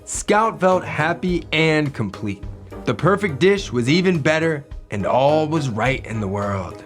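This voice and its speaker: A man's voice: fairly high pitch, expressive, a little slow, faint music behind. A man co-hosting a children's read-aloud, narrating in a playful, theatrical way.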